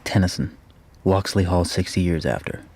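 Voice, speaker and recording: A man's voice: sits low, moderate pace, darkish tone, heavily acted. A man acting an anxious young graduate student in a radio drama, muttering self-critical thoughts to himself. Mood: anxious, rueful. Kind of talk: radio drama inner monologue. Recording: clear background noise.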